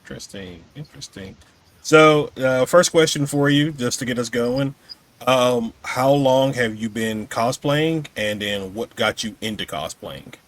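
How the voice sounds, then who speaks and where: A man's voice: mid-range voice, measured pace. A man hosting a casual podcast interview. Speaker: podcast host.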